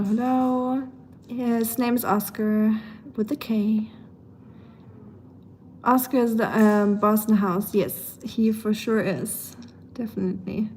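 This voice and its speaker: A woman's voice: on the high side, a little mellow, slight background noise. German-accented woman chatting over clattering dishes. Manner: chatty, talks to chat while washing dishes. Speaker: live streamer. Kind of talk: casual live stream chat while doing chores. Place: home kitchen. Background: clattering dishes and cutlery.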